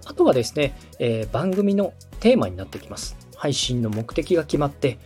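A man's voice: fairly high pitch, very animated, moderate pace, darkish tone, soft background music. A man hosting a podcast, explaining step by step in a polite, instructive coaching style. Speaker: podcast host and radio director giving advice.

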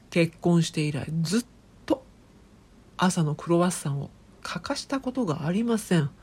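A woman playing an eccentric, excitable professor in a comic audio drama, with pompous, theatrical, old-fashioned formal speech. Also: low voice, slightly rough, exaggerated voice. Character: eccentric, excitable professor obsessed with a phantom bird. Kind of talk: comedic audio drama acting.

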